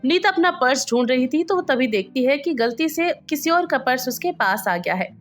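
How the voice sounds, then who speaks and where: A woman's voice: sits high, lively intonation, moderate pace, soft background music. A woman narrating a scripted story as its storyteller.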